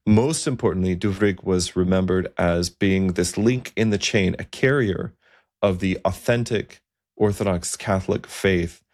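Male podcast host, very low voice, earnest storyteller who stops and restarts often. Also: a little slow, darkish tone. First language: not a Welsh speaker. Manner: earnest, with frequent ums and restarts.

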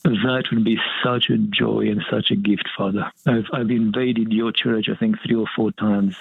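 Gravelly man on a tinny phone line. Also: on the low side, little pitch movement, moderate pace, dry recording. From Romania. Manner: thoughtful, laughs often. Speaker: monastery guest, priest. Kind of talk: casual interview chat.

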